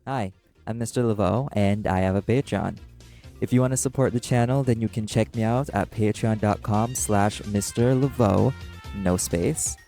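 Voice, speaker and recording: A man, the channel's creator, giving a friendly, relaxed outro plug. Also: fairly low pitch, moderate pace, vocal fry, soft background music.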